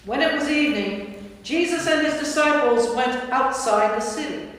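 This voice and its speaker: A woman's voice: high-pitched, normal speed. A woman reading scripted narration for a staged Bible reading. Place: large reverberant church. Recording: echoey church from far off, faint noise.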